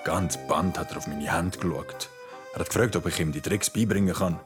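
Casual young storyteller, male actor: Swiss German accent, deep voice, recounting over clear background music. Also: a little fast, lightly acted. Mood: wry, recounting. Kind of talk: radio drama narration, casual recounting.

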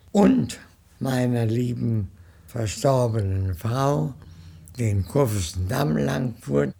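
Very gravelly older man, slow reminiscence. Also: mid-range voice, warm tone. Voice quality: gravelly, husky. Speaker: interviewee recalling his emigration.

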